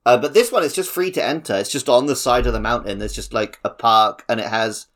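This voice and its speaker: A man's voice: mid-range voice, brisk, fairly bright tone. A man chatting casually as a podcast co-host. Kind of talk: casual chat.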